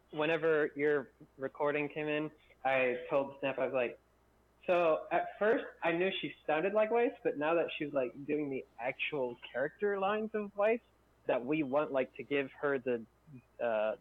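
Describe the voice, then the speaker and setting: A man with a fairly high voice for a male chats casually as a stream co-host. His audio is a bit dull and lo-fi, like a voice call. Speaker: stream co-host reading viewer questions. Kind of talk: casual chat.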